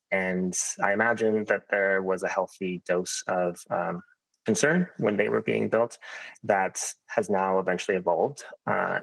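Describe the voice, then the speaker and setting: Male speaker at council, low rough voice, dry sound, hopeful and cooperative. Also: no echo, poor audio. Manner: earnest, conciliatory, with frequent "uh" fillers. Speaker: public speaker at a council hearing. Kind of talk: prepared public statement. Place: council chamber.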